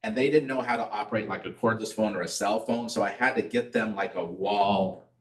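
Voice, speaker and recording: A man's voice: fairly low pitch, a little fast, far from the mic. A middle-aged man giving a casual community class, talking conversationally and mixing in personal stories and rhetorical questions. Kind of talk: casual educational talk. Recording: roomy echo, voice off-mic.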